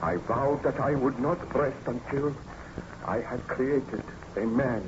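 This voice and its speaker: A man's voice: mid-range voice, slow pace, lightly acted. A man playing a tormented, guilt-ridden scientist nobleman in formal, theatrical old-time radio drama style. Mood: grave, confiding. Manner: grave, melodramatic, formal theatrical diction. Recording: dull, noisy old radio recording.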